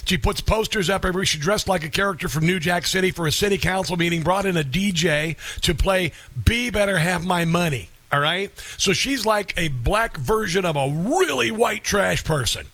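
A man's voice: high voice, normal speed, hardly any pauses, fairly bright tone, no echo. A male radio talk show host delivering an indignant, scoffing opinion monologue into a studio microphone.

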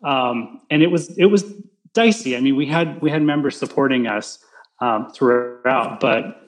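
Loud-voiced man, halting interview answers. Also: mid-range voice, poor audio. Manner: halting, full of restarts and fillers. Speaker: interview guest. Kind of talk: casual video-call interview.

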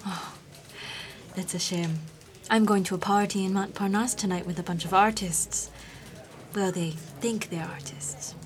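A woman's voice: mid-range voice, fairly even intonation, measured pace, lightly acted. An actress playing a friendly, forward young Chinese woman who is new at an office, in a naturalistic audio drama. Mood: friendly, coaxing.

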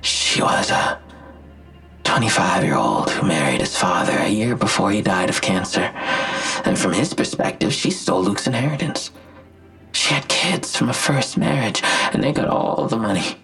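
An actor playing a smug, menacing vigilante villain in an audio drama, articulate and self-righteous, with a gravelly, husky voice and a deliberate, taunting delivery. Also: male voice, fairly low pitch, lively intonation, fairly bright tone, faint music behind, exaggerated voice. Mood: cold, matter-of-fact. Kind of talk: full-cast audio drama acting.

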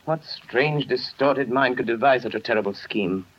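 Male actor for analytical gentleman sleuth, authoritative and deliberate, mid-pitched, muffled vintage radio sound. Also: natural voice. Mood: pondering. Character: brilliant, self-assured gentleman sleuth, grave and analytical. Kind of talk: 1930s radio drama acting.

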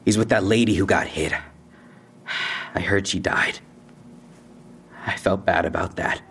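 An actor playing a defensive young robbery suspect in an audio drama, with husky, slangy, evasive talk and tough posturing over fear. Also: male voice, deep voice, steady tone, unhurried. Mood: bitter, resentful. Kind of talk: naturalistic audio drama acting.

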